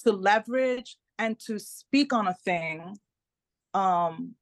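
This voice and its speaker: A Dominican American woman, Spanish her first language, speaks as a guest author in a relaxed interview. She talks in an animated way, restarts her phrases, and keeps asking "right?". Her voice sits at a middle pitch, and the sound is dry and close. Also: takes it slowly, dry recording. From Dominican American, parents from the Caribbean. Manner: animated, repeats words, often asks "right?". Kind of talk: casual interview talk.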